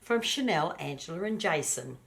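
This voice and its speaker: An older woman with a fairly low voice reads a picture book aloud as a grandmother would. She is expressive and homely, breaking off for small asides addressed straight to the children. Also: normal speed, gravelly.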